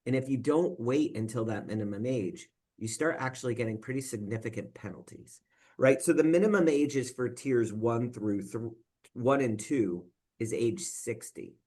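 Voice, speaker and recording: A man's voice: medium pitch, normal speed, a little mellow. A man presenting as a financial advisor, explaining step by step in a conversational way and often checking in with "right?". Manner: walks through worked examples step by step.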